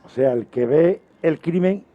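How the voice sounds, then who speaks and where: A man's voice: a little high, lively intonation, a little slow. Muffled male radio host chatting. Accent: Spain. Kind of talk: casual radio chat.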